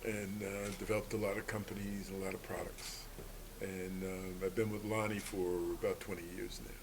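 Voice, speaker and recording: A man's voice: sits low, flat delivery, moderate pace. A man giving casual personal answers as a panelist at a live event. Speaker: panelist, inventor. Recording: live event with clear background noise.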